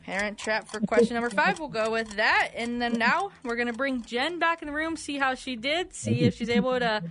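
A woman's voice: fairly high pitch, lively intonation, moderate pace, a bit bright. A woman co-hosting a radio quiz, reading trivia questions in a conversational way.

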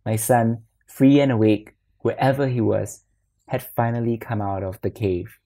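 A woman reading a literary short story aloud as narrator, in a measured and expressive manner. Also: a bass voice.

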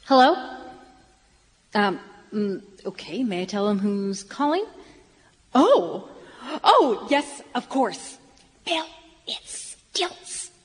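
An actress playing an exasperated but fond older wife in a live radio comedy. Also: female voice, mid-range voice, big pitch swings, takes it slowly, long pauses. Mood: flustered. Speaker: actor in a live radio play. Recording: echoey live stage hall.

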